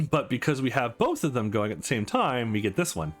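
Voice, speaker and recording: A man's voice: mid-range voice, big pitch swings, brisk. A man hosting a let's play, commenting casually on a visual novel as he goes. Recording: music under the voice. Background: game music.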